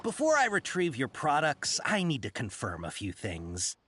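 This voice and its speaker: A man's voice: medium pitch, wildly swooping pitch, moderate pace, noisy background. A man voicing an overly courteous, apologetic male shop informant in a game cutscene. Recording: game soundtrack music behind.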